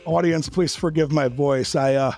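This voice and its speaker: Raspy-voiced man hosting a podcast. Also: a little high, fairly even intonation, normal speed, a little mellow, no room sound, faint music behind. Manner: blunt, profane, joking. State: raspy voice after a trip. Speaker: podcast host. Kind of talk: casual interview and monologue intro.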